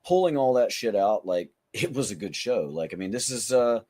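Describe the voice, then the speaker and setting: A man's voice: lowish voice, big pitch swings, moderate pace, a little mellow, dry recording. A man co-hosting a podcast in loose, casual chat, blunt and joking with some swearing. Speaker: podcast co-host. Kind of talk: casual chat among friends.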